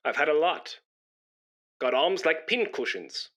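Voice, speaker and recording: Guy acting pompous british bloke in a radio comedy, rambly n nervous, bright punchy voice, swoops up and down. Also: a little high, big pitch swings, unhurried, exaggerated voice. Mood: boastful. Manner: rambling, self-important, stammering when flustered. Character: pompous, nervous, chattering British man come to give blood. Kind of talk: comic radio play acting before an audience.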